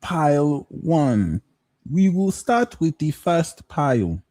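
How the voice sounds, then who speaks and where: A man's voice: a little high, sing-song, slow pace, warm tone, no room sound. Slangy, joking male tarot host, dry close sound. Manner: playful, slangy, jokes between readings. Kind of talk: live pick-a-card tarot reading.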